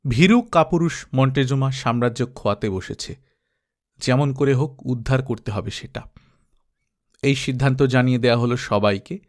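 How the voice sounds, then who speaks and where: A man reading an adventure novel aloud as narrator, steady and dramatic. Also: mid-range voice, normal speed. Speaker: audiobook narrator. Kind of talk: storybook reading.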